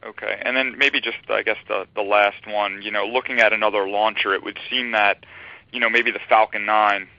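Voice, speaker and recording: Low-voiced male analyst on a dull line. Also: fairly even intonation, brisk, no echo. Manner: casual, rambling, hedging. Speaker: financial analyst asking questions. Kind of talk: informal, conversational questioning on an earnings-style call. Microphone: telephone. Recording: dull, dry phone line.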